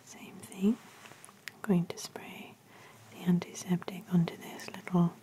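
A woman in her thirties speaking in a gentle, hushed, breathy ASMR whisper, as an examiner giving personal attention. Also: fairly low pitch, flat delivery, measured pace. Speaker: ASMR roleplay performer as ear examiner. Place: small indoor room with fabric backdrop.

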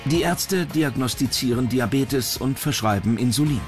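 A man's voice: medium pitch, moderate pace. A man from reading scripted documentary narration. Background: music bed under the voice.

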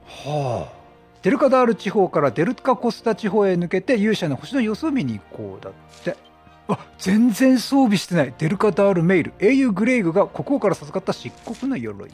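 A middle-aged man narrates his own gameplay in a high voice that swings widely in pitch. He is chatty and reactive, often repeating phrases, with game music faintly behind him. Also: very animated, a little fast, darkish tone, husky. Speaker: game streamer. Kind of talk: excitable Let's Play commentary.

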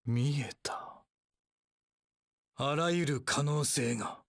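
An actor voicing a cold, haughty warlord strategist in a video game, with archaic, imperious, measured speech. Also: male voice, medium pitch, creaky, no echo, clean studio sound. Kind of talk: video game character voice lines.

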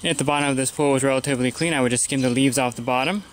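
A man's voice: mid-range voice, fairly even intonation, racing, slight background noise. A pool service technician talking through his weekly routine in a plain, matter-of-fact instructional voice-over.

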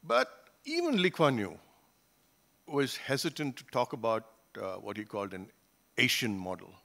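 An older man gives a panel talk at a conference table, speaking into a gooseneck microphone. His delivery is measured and thoughtful, often pausing on fillers and restarting his phrases. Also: medium pitch, big pitch swings, vocal fry, fairly bright tone. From Singapore. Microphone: table gooseneck mic.

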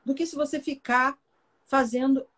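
A woman from Brazil speaks over a webcam video call as an interview guest. Her voice sits a little high, and she explains her points in a thoughtful way, like a teacher. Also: steady tone, moderate pace. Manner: thoughtful, explanatory, warm farewells. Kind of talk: casual live video chat.

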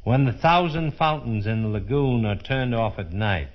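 An American man speaking as a tour guide in a scripted 1930s radio presentation, formal, measured and declamatory. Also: medium pitch, animated. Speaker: fair official acting as tour guide. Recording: dull, muffled 1930s radio broadcast.

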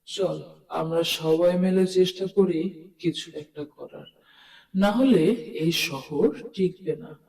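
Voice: medium pitch, mellow. A performer voicing a brave, earnest cartoon townsman with pleading emphasis, in a distant recording with long reverb. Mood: subdued, loyal. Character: brave, earnest townsman rallying his frightened friends. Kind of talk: cartoon story dialogue.

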